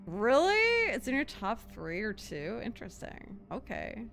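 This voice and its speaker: A woman's voice: on the high side, huge pitch swings, measured pace, creaky, fairly bright tone. A woman streaming a video game, chatting casually and thinking aloud in a giggly, talkative way. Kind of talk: casual live stream chat. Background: game music.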